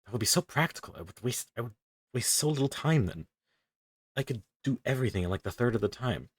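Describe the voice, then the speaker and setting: A young man with a deep voice, casually rambling and swearing as he talks through his drawing on a stream. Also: animated, quick pace, breathy edge, no room sound. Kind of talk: casual commentary while drawing.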